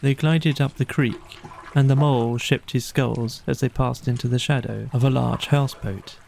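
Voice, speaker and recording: A man narrating a scripted bedtime audiobook in a measured storytelling manner. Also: mid-range voice, steady tone, creaky, a little mellow, slight background noise.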